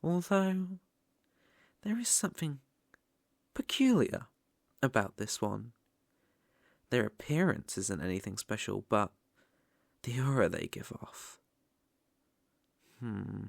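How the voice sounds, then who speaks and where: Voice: mid-range voice, expressive, slow, gravelly, pauses often, no echo, studio quality. A British-accented performer playing a sadistic, haughty demon in an ASMR roleplay, with a mocking, condescending, theatrical delivery. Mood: disdainful, curious. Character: sadistic, haughty demon lord sneering at human sacrifices.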